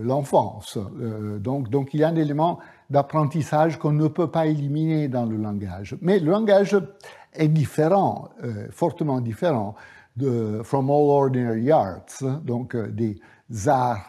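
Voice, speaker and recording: A male professor whose first language is Italian, giving a university lecture at a measured pace with frequent hesitations and fillers. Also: medium pitch, dark tone. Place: lecture hall.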